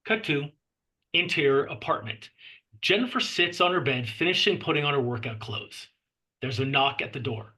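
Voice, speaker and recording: A man's voice: medium pitch, expressive, normal speed, bright, forceful tone, low-quality recording. A man reading screenplay stage directions aloud as scripted narration at a table read.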